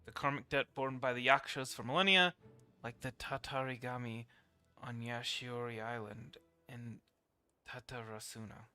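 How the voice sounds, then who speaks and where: A man's voice: medium pitch, steady tone, moderate pace, sharp tone, clean tone, faint music behind. A man giving casual live gameplay commentary as a streamer, reacting in an offhand, muttered way. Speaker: game streamer.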